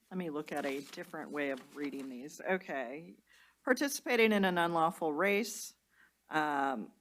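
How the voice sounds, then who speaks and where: A woman presiding as judge, her voice pitched somewhat low. She reads charges and sets bonds in a brisk, procedural manner. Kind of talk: courtroom bond hearing.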